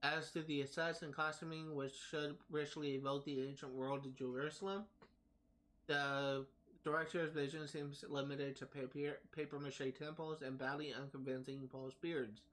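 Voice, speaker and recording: A man with a slightly high voice hosts a gaming livestream, reading written entries out loud. His delivery is halting, and he trips over words and names, then picks them back up. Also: level tone, rough recording. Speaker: livestream host. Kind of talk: reading in-game text aloud on a gaming stream.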